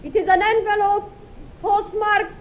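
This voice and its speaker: Eerie, very high-voiced clairvoyant. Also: female voice, little pitch movement, a loud crowd. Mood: entranced. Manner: halting, incantatory, intense. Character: entranced female clairvoyant, eerie and solemn. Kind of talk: 1940s radio drama acting. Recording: muffled vintage radio recording.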